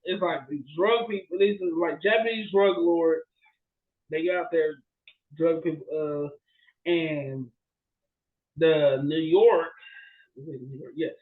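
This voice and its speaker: High-pitched man chatting casually. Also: fairly even intonation, takes it slowly, pauses often, narrow, tinny audio. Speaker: podcast co-host. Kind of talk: casual chat about bad movies.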